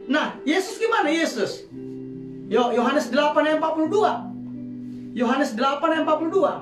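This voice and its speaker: A woman's voice: high-pitched, expressive, normal speed. A woman from Medan preaching a sermon, firing rhetorical questions and calling for amen responses. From Medan, Indonesia. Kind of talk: sermon teaching. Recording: echoey room, distant mic, music behind. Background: guitar music.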